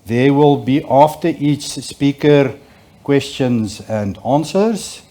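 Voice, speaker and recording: Slow, hesitant man facilitating a conference. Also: medium pitch, warm tone. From South Africa. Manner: hesitant, many filler sounds. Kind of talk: formal opening remarks. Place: conference auditorium.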